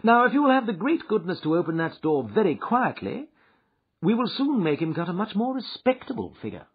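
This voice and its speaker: Guy reading an audiobook, doing a keen detective in a raised, clipped voice, bouncing pitch, kinda dull lo-fi sound. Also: sing-song, fairly quick, husky, heavily acted. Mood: assured. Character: sharp, eager male detective.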